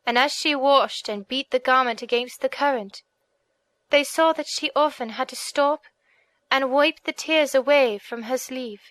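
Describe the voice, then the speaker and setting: Female audiobook narrator, slightly high voice, expressive formal storytelling, dry close recording. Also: measured pace, dry recording.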